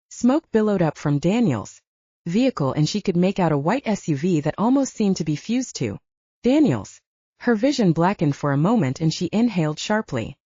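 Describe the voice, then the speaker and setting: A woman narrates dramatic fiction from a script. Her voice sits a little low and is dark and mellow, with very animated pitch. The recording is slightly dull. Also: breathy edge, no room sound. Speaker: audiobook narrator. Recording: dull, band-limited audio.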